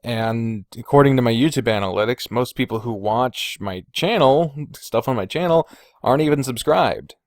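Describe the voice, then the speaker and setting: A man's voice: medium pitch, expressive, moderate pace, no echo, clean studio sound. A man talking casually as a podcast host, candid and rambling, hesitating and qualifying himself, with casual swearing. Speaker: podcast host and video creator.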